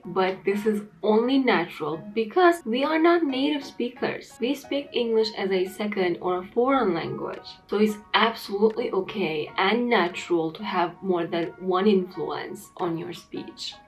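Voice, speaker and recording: A woman's voice: mid-range voice, low-quality recording. A young adult woman from Turkey, Turkish native, speaking English with a blend of Turkish and American accents in an earnest, direct-to-camera talk. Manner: earnest, plain-spoken, emphatic. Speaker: English teacher vlogger. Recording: faint background music.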